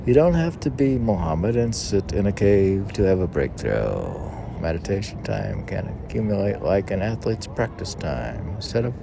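A man reading his own poems aloud outdoors, line by line in a halting way, with casual asides. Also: fairly low pitch. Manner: halting, spells out words, reads aphorisms line by line. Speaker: poet reading own work. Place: outdoors on a city museum lawn. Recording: outdoor recording with clear urban noise.

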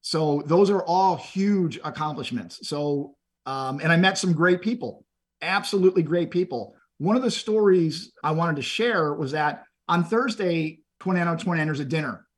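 A middle-aged man from Texas talks with a husky, slightly high voice. He speaks earnestly and motivationally, often repeating a word as he gets a phrase started. His tone is conversational, like a podcast guest sharing stories. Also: moderate pace. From Texas, USA. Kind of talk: conversational storytelling.

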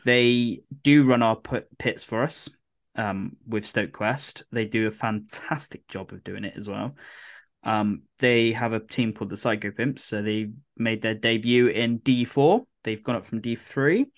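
A man co-hosting a podcast in casual chat, his voice a bit croaky, rambling with lots of fillers and restarts. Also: fairly low pitch, measured pace. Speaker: podcast co-host.

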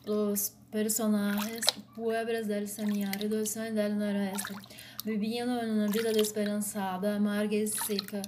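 Brazilian woman, Portuguese-accented, flat slow read, noisy recording. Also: medium pitch. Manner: slow, deliberate reading in short phrases. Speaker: blogger. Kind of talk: read-aloud commentary.

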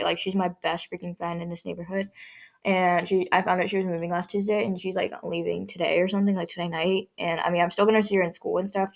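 A teenage girl chatting on her solo podcast, voice hoarse and scratchy. She rambles with lots of fillers and restarts, in a slightly low register for her age. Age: teens. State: hoarse, strained voice. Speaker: podcast host. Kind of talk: casual solo podcast chat.

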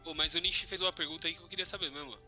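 Young man, very sharp and high, slangy animated banter. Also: very fast, faint music behind. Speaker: podcast co-host. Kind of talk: casual joking chat.

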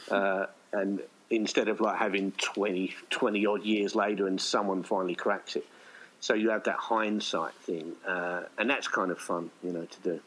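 A British man with a fairly low voice talks in a loose, conversational style. He rambles chattily as he explains things, like a writer talking shop. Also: a bit bright, low-quality recording. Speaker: audio play writer. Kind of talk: casual conversation.

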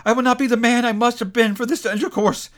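Guy doing excitable old man from a storybook, super theatrical, voice breaking, all over the place. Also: mid-range voice, brisk. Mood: desperate pleading. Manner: fervent, exclamatory, theatrical.

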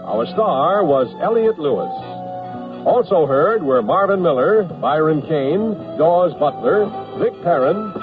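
A man with an American accent reading closing credits as a radio announcer, in a polished, formal manner. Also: a little high. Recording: thin, phone-like 1979 radio, music behind.

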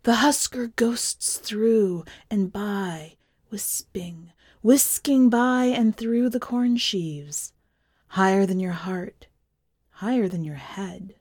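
Woman reading as an eerie, slow-spoken, haunted wife. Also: medium pitch, darkish tone, husky, no room sound, clean studio sound. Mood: eerie, teasing. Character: eerie, lyrical wife, fond and haunted, cryptic.

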